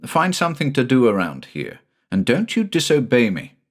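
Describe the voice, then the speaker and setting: A man voicing a frightened country doctor who speaks gently and carefully to his young son. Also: mid-range voice, wildly swooping pitch, moderate pace, pitched above the performer's own voice. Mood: firm.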